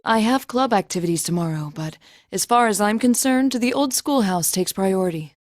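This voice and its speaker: A woman's voice: medium pitch, animated, moderate pace, dry recording, clean studio sound, lightly acted. An actress playing a poised, dutiful young female student in a video game, courteous and formal. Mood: composed. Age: young adult. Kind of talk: English anime-style game voice acting.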